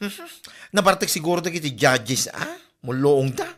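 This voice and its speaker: A woman's voice: low voice, huge pitch swings, normal speed, full character voice. A woman acting a sulky grown daughter in a comedy drama, blunt and dismissive. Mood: argumentative.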